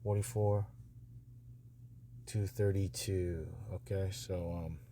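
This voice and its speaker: Low, mellow man talking off the cuff. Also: fairly even intonation, slight background noise. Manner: halting, repetitive, reassuring. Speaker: audiobook publisher reviewing narrator auditions. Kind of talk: casual off-the-cuff commentary.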